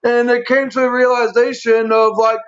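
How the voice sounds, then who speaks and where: A man with an extremely high, monotone voice talks as a guest on a show. He stutters and speaks haltingly, circling back and running long. The recording is dull and lo-fi. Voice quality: stuttering speech impairment. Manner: halting, repetitive, long-winded. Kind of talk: personal explanation on a talk show. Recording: dull, lo-fi stream audio.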